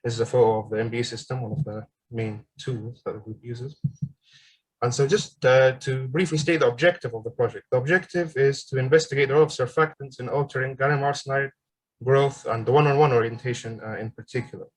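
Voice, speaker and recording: A man in his twenties from Egypt giving an online seminar presentation as a graduate student, pausing often with ums and uhs. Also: mid-range voice, steady tone, low-quality recording. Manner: hesitant, frequent ums and uhs. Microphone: video call.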